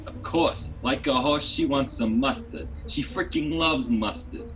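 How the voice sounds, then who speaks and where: A man's voice: mid-range voice, measured pace, lightly acted. A man playing a pushy, shifty, wisecracking street hot dog vendor in a comedy radio play. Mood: gossipy. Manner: wisecracking, slangy. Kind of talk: radio drama comedy acting. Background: music.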